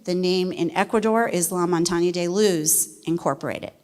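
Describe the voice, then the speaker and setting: A middle-aged woman from West Virginia, a missionary speaking to a church congregation in a folksy, animated way. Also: fairly low pitch, moderate pace. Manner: folksy and animated, laughs easily. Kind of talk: church testimony talk. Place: church sanctuary. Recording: long reverb of a church hall.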